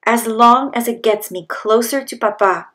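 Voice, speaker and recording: A woman's voice: fairly high pitch, normal speed, fairly bright tone, natural voice. A woman voicing a small, earnest, polite young male rabbit in a children's storybook. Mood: weary resolve. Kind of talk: children's storybook read-aloud.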